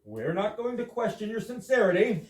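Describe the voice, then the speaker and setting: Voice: mid-range voice, very animated, moderate pace, a little mellow, lightly acted. An amateur actor playing an insistent, reproving husband in a staged comedy reading, with emphatic corrections. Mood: curious. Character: insistent, reproving married man, doggedly logical. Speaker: amateur readers theater actor. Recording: echoey room, far mic, background noise.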